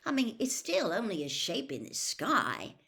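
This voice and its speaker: Blunt, impatient teenage boy for a storybook, played by a woman, high and pushy. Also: huge pitch swings, moderate pace, a bit bright, full character voice. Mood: puzzled. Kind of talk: acted storybook reading.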